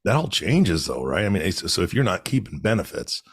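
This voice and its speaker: A man in his late forties co-hosting a podcast, upbeat and bantering, his voice low and worn from illness. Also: big pitch swings, fairly quick, husky, no echo, studio quality. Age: 40s. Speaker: podcast co-host. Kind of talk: casual podcast chat.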